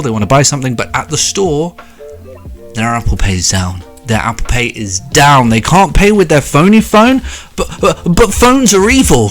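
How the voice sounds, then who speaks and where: Male, late 20s, mid-range voice; snarky commentary with jumpy, exaggerated emphasis over quiet background music. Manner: sarcastic, swearing, big pitch jumps for emphasis. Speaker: video commentator. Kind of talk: sarcastic commentary monologue.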